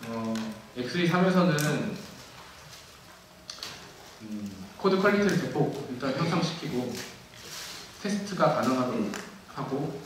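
A young man giving a technical keynote at a conference, speaking in a measured way with frequent fillers and restarts. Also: mid-range voice, animated, a little hiss or hum. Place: large dark auditorium. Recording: echoey hall from far off.

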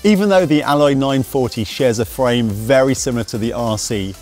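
A young man reads a scripted mountain bike review at a medium pitch. His delivery is brisk, technical and matter-of-fact. Faint music plays quietly underneath his voice.

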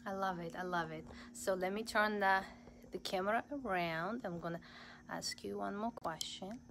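A woman's voice: medium pitch, moderate pace, fairly bright tone, slight background noise. A young woman with a Southern American accent, enthusiastically interviewing a shop owner on a phone camera in a large store. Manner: enthusiastic, gushing praise. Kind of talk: casual live store tour interview. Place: large indoor clothing boutique. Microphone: handheld phone camera.

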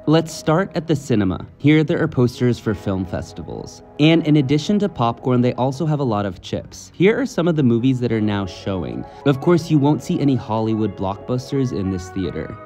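A man in his thirties, a travel vlogger, talking in an upbeat, curious, chatty way to a camera held at arm's length in a large indoor mall. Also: mid-range voice. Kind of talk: travel vlog with voice-over narration. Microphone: action camera held at arm's length.

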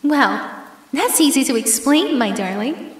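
A woman's voice: sits high, lively intonation, unhurried. A woman playing a menacing, possessive yandere ex-girlfriend in an ASMR horror roleplay, with sugary endearments and theatrical commands. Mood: sweetly possessive. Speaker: voice actress in audio roleplay. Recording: echoey, long hall-like reverb.

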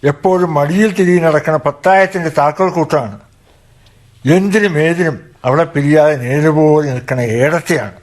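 Guy doing bitter stingy village dad from kerala, scornful, kinda high voice, radio drama. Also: normal speed, natural voice. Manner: sardonic, scornful. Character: bitter, grudging middle-aged village father, stingy. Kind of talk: Malayalam radio drama acting.

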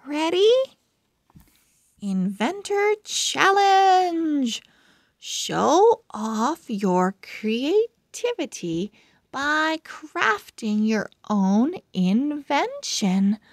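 A woman reading a children's storybook aloud as host, expressively and with deliberate phrasing. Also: sits high, no room sound, clean studio sound. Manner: deliberate, animated phrasing for young readers.

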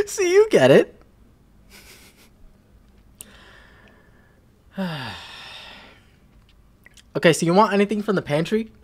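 Man acting a halting, swooping, shy college guy. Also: sits high, huge pitch swings, exaggerated voice. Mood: friendly. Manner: halting, flustered stammering with nervous laughs. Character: shy, smitten young college man asking out a crush. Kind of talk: roleplay audio acting.

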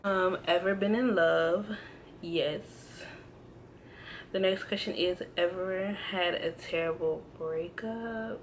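Young woman in her twenties, slow unhurried delivery, lowish voice, hesitant and chatty, faint room noise. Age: 20s. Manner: relaxed, hesitant, many ums and restarts. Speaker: vlogger. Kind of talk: casual tag video chat. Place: indoors at home, in front of a backdrop. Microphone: camera framed close, seated.

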